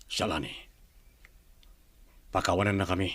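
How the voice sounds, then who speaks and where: An actor playing a ruthless, authoritative gang boss in an Ilocano radio drama, with an Ilocano accent. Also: male voice, low-pitched, steady tone, moderate pace, fairly bright tone, husky, no room sound. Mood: commanding.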